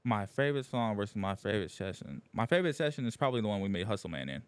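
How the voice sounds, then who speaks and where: A man's voice: lowish voice, sing-song, very fast, clear, resonant tone, no room sound. A young man chatting casually as a podcast guest, loose and filler-heavy, repeating "yeah yeah yeah". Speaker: guest rapper. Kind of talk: casual chat.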